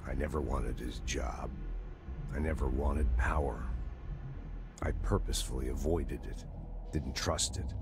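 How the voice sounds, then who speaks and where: An actor playing a grave, brooding male bureau director in a video game, delivering a terse, deliberate monologue in clipped phrases. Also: very deep voice, creaky, noisy background, full character voice.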